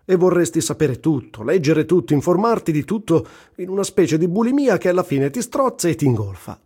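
A man's voice: a little high, very animated, a little fast, warm tone, breathy edge. An actor reciting as a wry, earthy, self-mocking male war journalist, in a dramatic literary radio-theatre reading. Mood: ironic, animated. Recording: voice over prominent music. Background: music.

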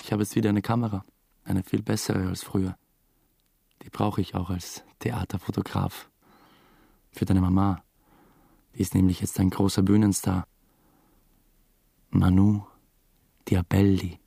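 A low, creaky young storyteller. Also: male voice, darkish tone, no echo, clean studio sound, exaggerated voice. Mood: tender, reflective. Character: young theatre photographer, new father, earnest storyteller. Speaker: narrator and lead character. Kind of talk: first-person radio drama narration.

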